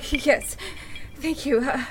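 A woman's voice: high voice, steady tone, slow, slight background noise, low-quality recording. An actress playing a shaken, frightened lady in an old-style radio mystery, speaking hesitantly. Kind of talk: Golden Age style radio drama acting.

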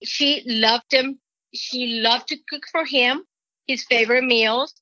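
A woman's voice: a little high, steady tone, sharp tone, dry recording. Earnest woman with a Mexican accent. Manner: rambling, earnest, halting restarts. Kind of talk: personal testimony. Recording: dull lo-fi recording.

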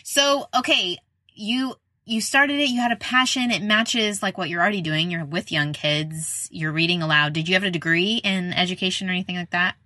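A woman's voice: lowish voice, normal speed, bright, forceful tone, no room sound. A middle-aged woman hosting a podcast, chatting informally in a casual interview style. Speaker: podcast host, speech-language pathologist.

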